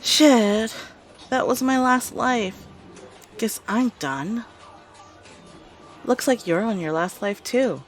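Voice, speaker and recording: A woman's voice: fairly high pitch, slow, pauses often, soft background music. A woman acting a playful young gamer on a date in an audio roleplay, teasing and blunt in a casual way.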